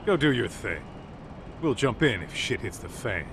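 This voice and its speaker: Game brawler: brash loyal partner, mid-pitched, very lively delivery, rough talk. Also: male voice, normal speed, heavy background noise. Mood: determined. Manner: blunt, cocky, street-tough, swearing. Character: brash, burly male detective sidekick, hot-blooded and loyal. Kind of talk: English video game dub acting.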